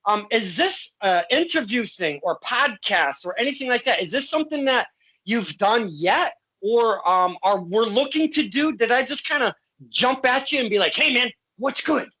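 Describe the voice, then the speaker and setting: A man's voice: extremely high pitch, animated, normal speed, pushed and bright, husky, poor audio. A man from Connecticut hosting a casual interview podcast, boisterous and playful, swearing freely. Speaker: podcast host.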